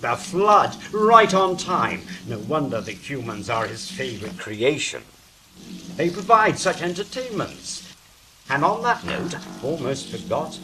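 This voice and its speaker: A man performs a scornful angel in a stage-style drama. His highish voice swoops widely as he declaims with sardonic authority. A clear hiss sits behind the words. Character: scornful, theatrical male angel, sardonic and commanding.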